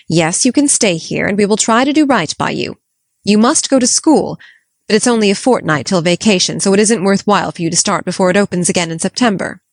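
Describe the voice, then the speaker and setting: A woman's voice: medium pitch, no echo, lightly acted. A woman voicing a strict, no-nonsense middle-aged spinster, clipped and disapproving. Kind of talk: audiobook character voice.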